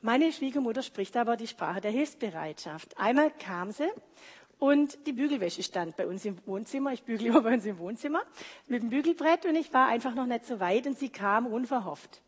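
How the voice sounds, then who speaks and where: Female preacher with a slightly high, breathy voice, easygoing sermon delivery, reverberant church hall. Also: expressive. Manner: conversational, explaining slowly. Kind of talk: sermon with personal anecdotes.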